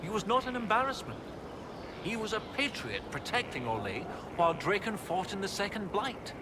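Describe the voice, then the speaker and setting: Excitable, animated, high-voiced scholar. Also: male voice, moderate pace, background noise. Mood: excited. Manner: scholarly, animated, precise wording. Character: excitable, bookish male scholar and historian, eager about discoveries. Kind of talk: video game dialogue acting.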